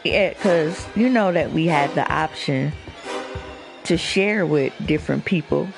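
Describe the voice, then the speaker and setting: A man's voice: on the high side, measured pace, creaky. A man chatting casually as a radio show co-host, telling stories in slangy, profane talk, with music playing behind. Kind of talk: casual chat.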